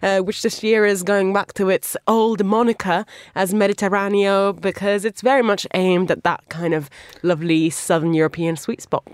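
A woman's voice: mid-range voice, fairly even intonation, normal speed, no echo. A woman, a magazine editor, chatting playfully as a guest on a talk show. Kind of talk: casual studio chat.

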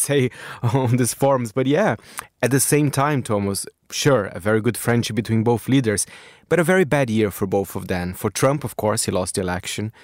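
A man gives radio commentary in a fairly low voice. He stumbles and restarts often. The sound is dry and close. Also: no echo. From Brazil. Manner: halting, with restarts and you-know fillers. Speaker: correspondent.

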